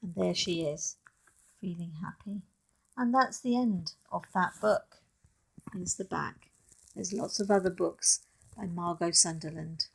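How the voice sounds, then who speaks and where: A woman reading a picture book aloud expressively, pausing to speak directly to child listeners. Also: on the low side, vocal fry, slightly rough, poor audio.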